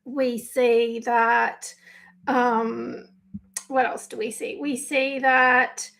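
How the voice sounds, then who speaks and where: A woman life coach speaking live and unscripted, her voice husky today, talking slowly and choosing her words with care. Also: a little high, level tone, a bit bright. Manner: slow, pausing, careful with words, apologetic. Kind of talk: spontaneous live video talk.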